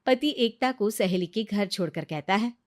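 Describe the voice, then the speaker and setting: A woman's voice: medium pitch, expressive, moderate pace. A woman storyteller narrating a scripted cartoon moral story with dramatic mock pity.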